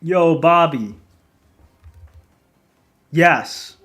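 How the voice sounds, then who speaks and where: A man's voice: a little high, lively intonation, extremely slow, fairly bright tone. Laid-back rambling game-dev streamer man. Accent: non-native accent in Japanese. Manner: loose, rambling, laughs often, swears casually. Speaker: indie game developer streaming. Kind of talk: casual livestream chat, answering chat. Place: lives in Japan as a foreigner.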